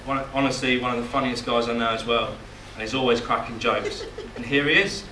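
Young groom, reads a heartfelt joking speech, picked up far off in a noisy hall. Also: male voice, medium pitch, fairly even intonation, a little fast. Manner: emotional, voice catching at moments, joking. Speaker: groom giving a wedding speech. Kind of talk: reading a wedding speech. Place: wedding reception hall, indoors. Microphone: far camera, no mic. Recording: echoey hall, distant camera, loud noise.

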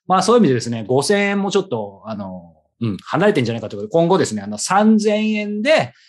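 A man's voice: on the high side, sing-song, racing. A man hosting a conversational interview, chatty and wordy, often adding asides as he goes. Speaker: interviewer, show host.

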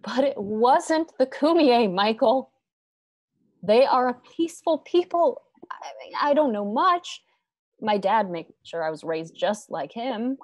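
A woman's voice: on the high side, lively intonation, measured pace, no echo, clean studio sound. A woman playing a troubled, bitter frontier wife in a radio drama, weary and intense.

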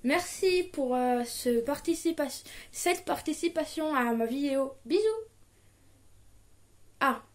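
A young woman in her twenties recording a casual diction tutorial at home, chatting and reading children's book blurbs aloud with lively intonation. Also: sits high. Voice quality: muffled, as if nasal, from a pen held in her mouth. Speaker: vlogger. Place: small living room at home, indoors.

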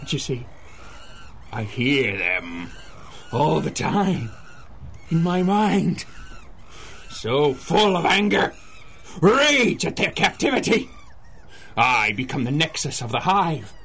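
An actor playing a deranged, gleeful supervillain who commands monkeys, theatrical and taunting, in a 1930s-style radio drama. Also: male voice, a little high, very animated, takes it slowly, long pauses, fairly bright tone, slightly rough, exaggerated voice. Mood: brooding. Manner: theatrical, taunting, cackling, swinging from croon to shriek.

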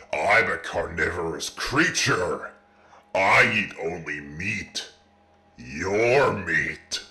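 A man voicing a menacing animatronic tiger, a gloating carnivore with a gravelly, taunting villain delivery, as game voice lines. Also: fairly low pitch, sharp tone, full character voice. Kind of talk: video game character voice lines.

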